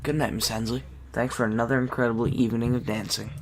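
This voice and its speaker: A man's voice: mid-range voice, flat delivery, quick pace, background noise, natural voice. A man playing a brash, teasing young swing-dancing rebel with cocky, slangy banter in an audio drama. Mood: tender.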